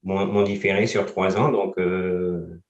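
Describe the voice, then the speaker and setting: Man, 40s, from France, low voice, hesitant, lo-fi roomy recording. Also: fairly even intonation. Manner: slow, hesitant, many fillers. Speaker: guest. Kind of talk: casual interview.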